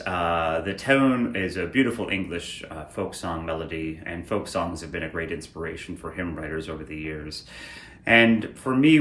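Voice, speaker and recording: A man giving an informal explanatory talk on church music, thoughtful and hesitant, with frequent pauses. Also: low voice, fairly bright tone. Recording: roomy echo, clear background noise.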